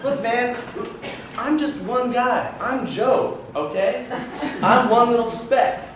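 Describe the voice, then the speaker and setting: A man's voice: very high voice, takes it slowly, a little hiss or hum. An improv actor playing an easygoing best man who laughs through his lines and reassures his friend. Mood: joking. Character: laid-back chuckling best man, a man. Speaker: improv performer. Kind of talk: live improv comedy scene. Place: theater stage with live audience. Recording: roomy live stage recording from far off.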